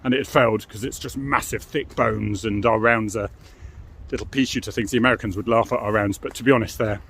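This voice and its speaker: A man's voice: fairly low pitch, fairly even intonation, fast talker, vocal fry, fairly bright tone, husky. A middle-aged British man giving an informal, explanatory monologue as a former police officer, frank and matter-of-fact, often adding tag questions.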